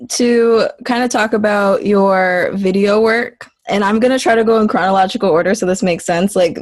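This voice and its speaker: A woman's voice: medium pitch, no echo. Woman, late 20s, interviewer; friendly, slangy, conversational; dry, close sound. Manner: friendly, chatty, uses slang. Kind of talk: casual interview.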